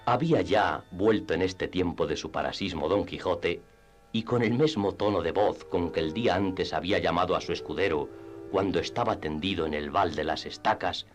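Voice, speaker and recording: Narrator for radio play: male, deep register, scripted literary storytelling. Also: moderate pace, soft background music.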